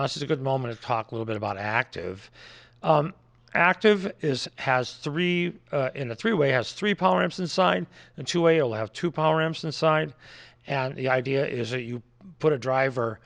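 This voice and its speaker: An American man with a noticeably gravelly, creaky voice at an ordinary male pitch. He talks through products informally, explaining things in a plain-spoken way like a distributor showing his range. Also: fairly bright tone. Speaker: audio distributor presenting products.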